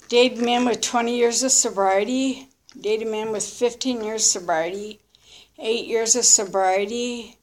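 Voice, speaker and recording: A woman in her early fifties with a slightly high voice speaks very slowly. She shares a candid, unscripted personal testimony. Her delivery is reflective and halting, built from short confessional phrases. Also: background noise. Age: early 50s. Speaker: recovering alcoholic sharing her story.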